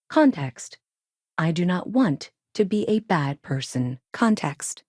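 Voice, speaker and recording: Low-voiced, stilted female AI. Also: darkish tone, dry recording, studio quality, exaggerated voice. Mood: troubled. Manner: stilted, deliberate, prefacing statements with one-word labels. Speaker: video game character. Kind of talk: video game voice acting, clipped machine-like statements.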